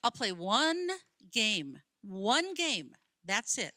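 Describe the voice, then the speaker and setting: A woman's voice: mid-range voice, big pitch swings, very slow, bright, forceful tone, no room sound, clean studio sound, heavily acted. An actress in a radio play playing a skeptical, stubborn, gloomy woman who questions and argues. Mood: reluctant. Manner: querying, argumentative.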